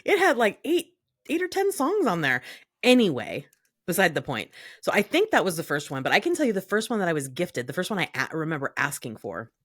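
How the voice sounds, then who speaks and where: A woman in her early fifties chatting casually and bantering as a podcast co-host, animated and playfully stubborn. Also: lowish voice, brisk, no room sound, clean studio sound. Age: early 50s.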